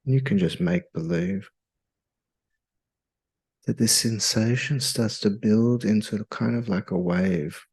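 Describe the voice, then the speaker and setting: A man leading a guided meditation in a soothing, hypnotic, measured way with long pauses. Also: on the low side, gravelly, a little mellow, breathy edge.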